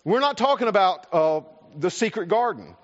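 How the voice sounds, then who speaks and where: A man with a South Carolina accent preaches in a folksy, earnest way, and his voice sits high for a man. He often repeats words as he goes. The sound is dull and lo-fi, with a long reverb from a large hall. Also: lively intonation, normal speed. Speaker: pastor. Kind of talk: sermon with a personal story.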